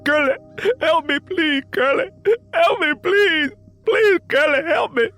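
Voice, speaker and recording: A man's voice: extremely high pitch, takes it slowly, sharp tone, faint music behind, pitched above the performer's own voice, full character voice. A man voicing a terrified, beaten young woman with a Southern US accent, pleading breathlessly in an audio story. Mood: desperate pleading.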